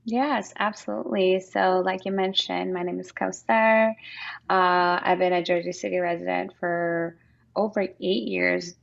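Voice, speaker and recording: A woman's voice: fairly low pitch, steady tone, unhurried, gravelly, dull audio. A woman in her thirties from Afghanistan and Pakistan, giving an informal, explanatory personal account as an interview guest. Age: 30s.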